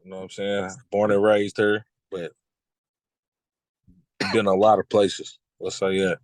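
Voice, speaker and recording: A man in his thirties from Kentucky, a music artist talking casually in an interview, relaxed and repeating his phrases. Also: fairly low pitch, measured pace, no echo, poor audio. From Lexington, Kentucky. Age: 30s. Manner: laid-back, repeats "you know what I'm saying".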